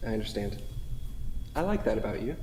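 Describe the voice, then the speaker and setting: A roomy, echoing hall with faint noise surrounds an actor playing a needy, easily wounded man in a stage play. His pitch moves around a lot as he speaks in an earnest, apologetic and wordy way. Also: sing-song, a little fast, a little mellow. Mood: wounded, hesitant. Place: theatre stage at a live staged reading.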